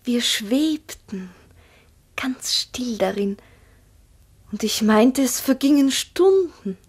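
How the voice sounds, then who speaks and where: Dreamy girl narrating a memory, slow n husky, hushed, 60s radio play. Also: fairly high pitch, expressive, full character voice. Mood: dreamy, wistful. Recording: 1960s mono radio recording.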